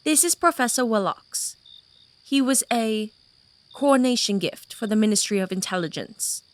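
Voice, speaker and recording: A woman's voice: fairly high pitch, expressive, normal speed, close to an everyday voice. A woman voicing a poised, commanding noblewoman. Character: composed noblewoman with mind powers.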